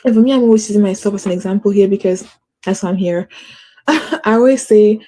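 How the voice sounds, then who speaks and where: A woman's voice: medium pitch, a little fast, warm tone. A woman hosting a casual motivational talk, earnest and encouraging, with a stutter and many fillers like "like". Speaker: host.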